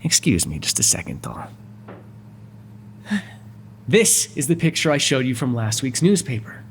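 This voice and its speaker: A man's voice: medium pitch, very animated, a little slow, slight background noise. A man playing a wry, courteous but exasperated mafia boss in an ASMR roleplay, sardonic and conversational in manner. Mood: wry, explaining.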